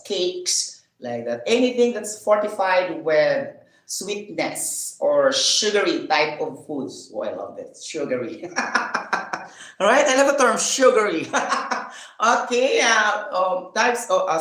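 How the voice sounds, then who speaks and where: A man's voice: mid-range voice, fairly bright tone. Rambling man in an echoey room. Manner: rambling, self-questioning, frequent fillers and laughs. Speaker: vlogger. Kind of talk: casual vlog chat while eating.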